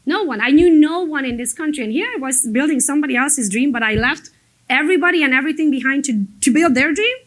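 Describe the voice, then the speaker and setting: High-voiced woman coach. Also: lively intonation, fast talker, fairly bright tone. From abroad, emigrated to the United States as an adult. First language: not English. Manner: blunt, emphatic, conversational storytelling with mild swearing. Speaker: motivational business coach. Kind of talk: informal motivational training talk to a group.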